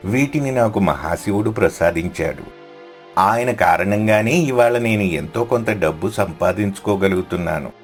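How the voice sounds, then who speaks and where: A man's voice: lowish voice, expressive, moderate pace, faint music behind, close to an everyday voice. A man playing a humble, devout poor fish seller in scripted story dialogue, respectful and pleading.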